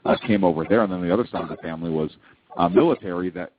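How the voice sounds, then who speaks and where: A man from Hawaii talking casually about his family, with many pauses and restarts. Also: sits low. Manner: halting, full of ums and restarts. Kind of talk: casual chat. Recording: tinny phone-like line.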